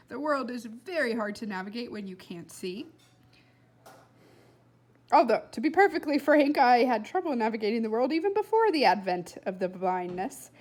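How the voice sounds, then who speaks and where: A woman's voice: a little high, a little mellow. A woman voicing an unflappable, philosophizing storybook boy who speaks in a formal, wordy, pedantic way. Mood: breezy, fussy.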